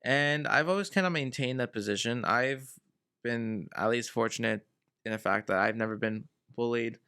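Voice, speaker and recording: Laid-back gravelly man giving advice. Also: medium pitch, normal speed, bright, forceful tone. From Venezuela. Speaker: guest. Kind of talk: casual conversation.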